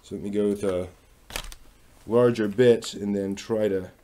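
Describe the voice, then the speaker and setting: A man thinking aloud in a casual, halting, tentative way as he works with his hands on a DIY project. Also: fairly low pitch, fairly even intonation. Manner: muttering to himself, tentative, halting. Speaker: host of a DIY workshop vlog. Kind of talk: casual thinking-aloud commentary while working. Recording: loud power drill and tool noise. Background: power drill, tool clicks.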